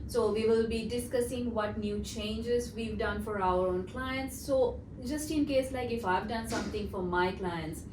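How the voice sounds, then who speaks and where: A young woman from Sri Lanka, a project coordinator, chatting casually in an interview with lots of "like" and "um". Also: mid-range voice. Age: young adult. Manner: chatty, uses many fillers like "like" and "um". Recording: echoey room, mic at a distance, noisy.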